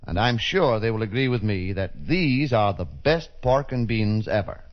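A man's voice: on the low side, animated, moderate pace. Polished 1930s radio announcer, muffled man's voice. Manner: polished, formal delivery. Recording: dull, lo-fi 1930s radio recording.

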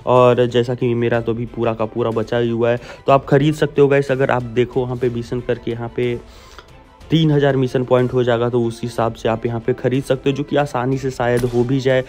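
A man's voice: mid-range voice, flat delivery, moderate pace, a little mellow. A young Indian man giving a casual, blunt video game update, talking informally to viewers as friends over a close mic. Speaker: gaming YouTuber. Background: background music.

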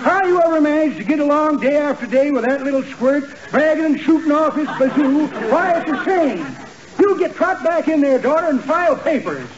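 A man's voice: very high-pitched, animated, moderate pace, crowd noise. An actor playing a folksy, meddling old man who rambles and cackles, in a 1940s radio comedy. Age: older. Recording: dull hissy 1940s radio broadcast.

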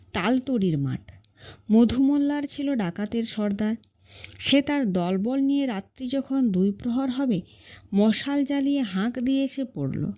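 A woman's voice: on the high side, dark tone, highs cut off. A woman narrating a classic short story as an audiobook reader, in scripted storytelling style.

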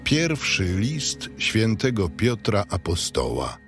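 Slow, deep male announcer over music. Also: huge pitch swings. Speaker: announcer of reading titles. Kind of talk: solemn scripted announcement. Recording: music behind the voice.

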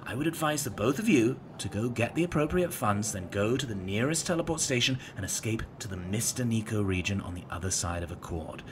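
Man playing an eccentric, animated, low-voiced time traveller. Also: brisk, few pauses, close to an everyday voice. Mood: brisk, advising. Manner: chatty, hesitant, exclamatory. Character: eccentric centuries-old male time traveller, quick-witted, evasive. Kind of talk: audio drama acting. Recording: clear background noise.

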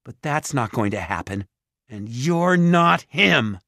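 A man's voice: lowish voice, expressive, moderate pace, dry recording, studio quality. Man playing an anxious, defiant young boy. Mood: angry, hurt. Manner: earnest, strained, emotional.

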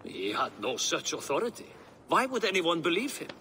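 Bright-voiced, guarded Norse ruler. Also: male voice, on the high side, wildly swooping pitch, moderate pace, slight background noise, heavily acted. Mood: troubled. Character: guarded, concerned male Norse ruler, husband. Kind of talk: dramatic video game cutscene acting.

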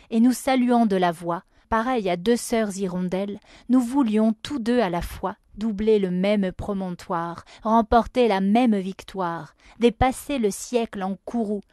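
A woman reciting a literary poem with measured, expressive phrasing. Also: medium pitch, dry recording, studio quality. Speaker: poetry reader.

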